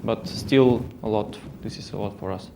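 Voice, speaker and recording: Bulgarian guy presenting at a tech conference, gravelly voice, lots of uhs and restarts, faint hiss behind. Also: on the low side, moderate pace. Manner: hesitant, many fillers and restarts. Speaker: conference speaker. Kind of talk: technical conference talk. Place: conference hall.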